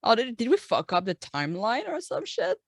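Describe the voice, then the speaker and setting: A woman's voice: a little high, wildly swooping pitch, a little fast, dry recording, clean studio sound, pitched above the performer's own voice, heavily acted. A woman playing a bewildered, curious adventurer in a tabletop game, stammering and slangy.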